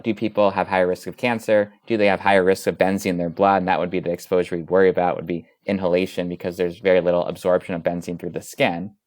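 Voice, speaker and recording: A man's voice: sits low, darkish tone, dry recording, clean studio sound. A man, a physician researcher, explaining findings methodically as an interview guest.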